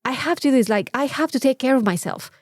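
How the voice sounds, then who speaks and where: A woman chatting casually as a podcast co-host, animated and free with swearing. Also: sits high, quick pace, no room sound, clean studio sound. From Mexico. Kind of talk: casual chat.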